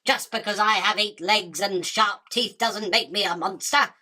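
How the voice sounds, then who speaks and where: Spider queen for radio play, female actor: crisp-edged bright tone, theatrical, self-important and scornful, always hungry. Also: mid-range voice, moderate pace. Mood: irritated, superior. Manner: imperious, dismissive, self-justifying. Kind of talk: theatrical radio drama acting.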